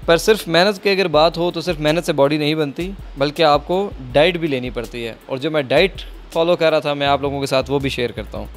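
A young man narrating his fitness journey in a reflective, low-key voice-over. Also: brisk, few pauses. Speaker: fitness vlogger. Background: loud hip hop music.

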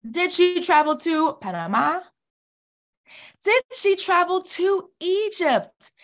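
A woman's voice: extremely high pitch, animated, slow pace. A woman in her thirties doing an interactive read-aloud for young children, encouraging them and asking questions, recorded indoors on a video call. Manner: encouraging, exclamatory, asks questions and answers them. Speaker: children's author reading own book. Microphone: computer mic on video call.

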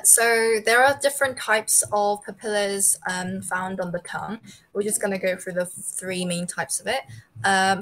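A woman's voice: medium pitch, fairly bright tone. A young woman giving an informal slide presentation over a video call, explaining in a hesitant, tentative way. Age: young adult. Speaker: student co-presenter of an online dental anatomy session. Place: bedroom, indoors.

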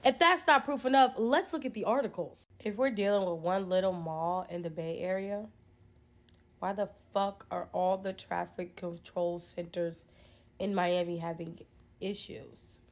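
A woman's voice: on the low side, unhurried. Young woman, 20s, casual phone-to-camera commentary; emphatic, profane, incredulous. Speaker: social media commentator. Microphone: phone held close, selfie framing.

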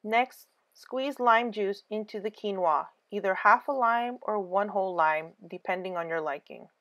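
A woman giving a scripted cooking voice-over, calm clear step-by-step instructions for a home recipe. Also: medium pitch, moderate pace. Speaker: cooking channel host.

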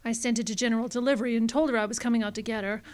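A woman's voice: on the high side, fairly even intonation, rapid-fire, darkish tone, natural voice. Woman acting an anxious, pleading young female client. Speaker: actor. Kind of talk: hard-boiled radio drama acting.

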